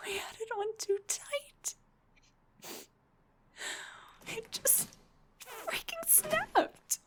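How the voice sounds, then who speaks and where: A young woman playing an embarrassed, self-deprecating female Dullahan in an intimate audio roleplay, hesitant and rambling, with a husky, breathy voice. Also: very high voice, lively intonation, slow pace, heavily acted. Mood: crying, panicked. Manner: hesitant, stammering, rambling confession.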